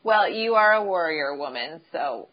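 A woman's voice: low-pitched, lively intonation, measured pace, highs cut off. A woman hosting a podcast who chats casually and asks playful, joking questions. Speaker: podcast host. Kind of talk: casual chat.

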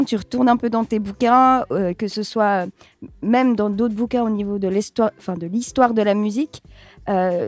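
A woman's voice: medium pitch, a little mellow, dry recording. Woman co-host, hesitant. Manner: hesitant, filler-heavy. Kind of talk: casual interview question.

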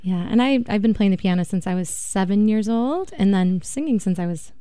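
A woman's voice: medium pitch, a little fast, warm tone, clean tone, no echo. A woman from Minnesota, lead singer and pianist in a band, talking casually and laughing often in a radio interview. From Minnesota, USA. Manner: chatty, laughs often, restarts sentences.

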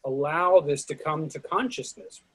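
A man's voice: fairly high pitch, expressive, moderate pace, a bit bright, a little hiss or hum. A man in a casual, reflective discussion, speaking emphatically and restarting phrases as he builds his point. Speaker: discussion participant in the arts.

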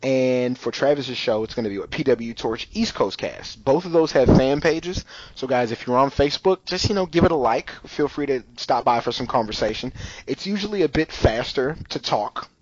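A man's voice: medium pitch, animated, moderate pace. Chatty podcast host man, dull mic. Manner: loose and chatty, full of "you know" and "like". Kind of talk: casual podcast chat.

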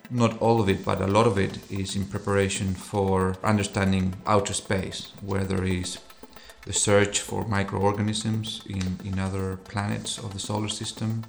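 A middle-aged man from Chile, an associate professor, giving thoughtful interview answers that often pause and restart phrases. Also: sits low, gravelly, slight background noise.

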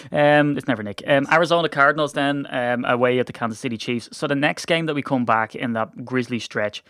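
A man hosts a casual sports podcast at a brisk clip. He is chatty and jokey, rambling from one thought to the next. His voice sits in a middle register with a dry, echo-free studio sound. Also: mid-range voice, a bit bright. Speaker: podcast host. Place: small video studio.

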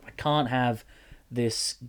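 A man's voice: medium pitch, takes it slowly, clear, resonant tone. A man, a wrestler, telling stories in a casual podcast chat with lots of restarts. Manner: animated storyteller, many restarts. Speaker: wrestler and general manager.

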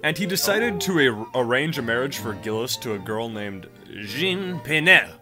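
A man's voice: mid-range voice, very animated, moderate pace, pushed and bright, soft background music. A man co-hosting a podcast, telling history stories casually with irreverent, profane, mock-dramatic asides and banter. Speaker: podcast co-host.

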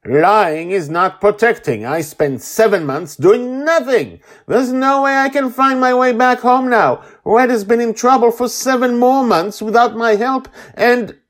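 A man voicing an angry, wounded ten-year-old warrior girl in a storytelling podcast, loud and accusing. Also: sits low, sing-song, extremely slow, creaky, breathy edge, full character voice. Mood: furious, defiant.

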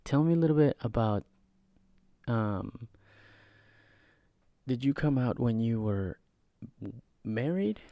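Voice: medium pitch, lively intonation, takes it slowly, long pauses, dry recording, dull audio. An interviewer asking personal questions and encouraging the guest with many short affirmations. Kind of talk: conversational interview.

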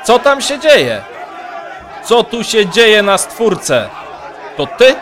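A man's voice: sits high, sing-song, very slow, heavily acted. A man playing an indignant, astonished mercenary commander in a fantasy audio drama, blustering and theatrical. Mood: alarmed, outraged. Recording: music and crowd behind.

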